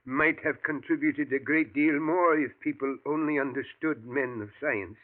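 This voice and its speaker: Man doing a sweet old professor, kinda high voice, tinny radio sound. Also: normal speed, close to an everyday voice. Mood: earnest. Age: older. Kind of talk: 1940s radio acting.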